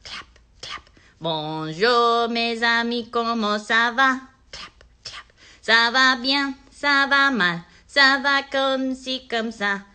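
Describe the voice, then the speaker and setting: A woman voicing a gentle puppet who patiently teaches a song in a slow, sing-song, way for children. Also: a little high, clear, resonant tone. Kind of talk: children's puppet show performance.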